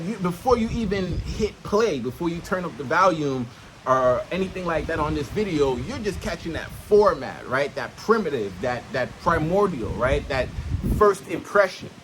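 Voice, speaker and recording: A man with a slightly high voice speaks off the cuff in a casual self-help vlog. He uses streetwise slang, swears often and keeps checking that the listener follows. There is faint outdoor noise around him. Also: sing-song, normal speed, a bit bright, rough recording. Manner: punctuates points with checking questions to the listener. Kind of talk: casual unscripted monologue, teaching to camera. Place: outdoors in nature.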